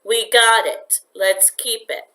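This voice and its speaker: A woman's voice: a little high, fairly even intonation, takes it slowly, sharp tone, reverberant. A woman reading a storybook, voicing a peaceful, kindly father with a soothing, resigned manner. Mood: quietly firm. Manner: soothing, mild.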